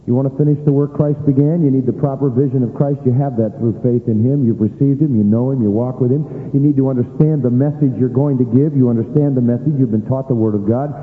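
Male preacher, emphatic sermon delivery, tinny lo-fi sound, long hall reverb. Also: on the high side. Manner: rhetorical questions, emphatic. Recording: echoey hall on a tinny line.

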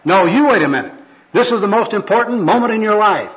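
A man's voice: sits high, expressive, fast, a bit bright, voice pushed up. A man reading a skit, voicing an overzealous young street evangelist who scolds and insists in a preachy way. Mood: urgent, preachy. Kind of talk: amateur skit read aloud. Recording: dull, lo-fi recording.

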